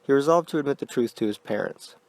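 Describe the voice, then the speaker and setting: Male horror narrator, mid-pitched, measured and quiet, dry booth-style recording. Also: dry recording. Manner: quiet, deliberate storytelling.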